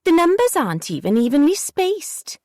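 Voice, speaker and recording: A woman voicing a sullen, defiant young girl who talks peevishly and argues back, pitched well above her own voice. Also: wildly swooping pitch, normal speed, warm tone, no echo, studio quality, exaggerated voice. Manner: peevish, sulky, argumentative.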